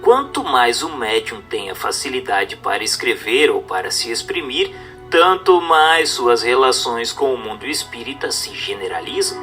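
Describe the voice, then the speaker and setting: Bright, animated Brazilian male narrator. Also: medium pitch. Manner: formal, didactic reading. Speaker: audiobook narrator. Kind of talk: scripted narration of a book.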